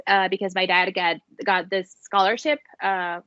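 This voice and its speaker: A woman's voice: medium pitch, flat delivery, moderate pace, fairly bright tone, no echo. Chatty woman in a dry, muffled recording. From Mexico and the USA. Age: 40s. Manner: chatty, joking, frequent "like". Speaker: podcast guest, soccer fan. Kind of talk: casual chat in Spanglish.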